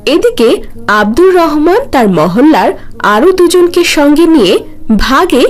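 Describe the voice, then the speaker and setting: High-pitched woman narrating a cartoon. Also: sing-song, a little slow. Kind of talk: scripted cartoon story narration.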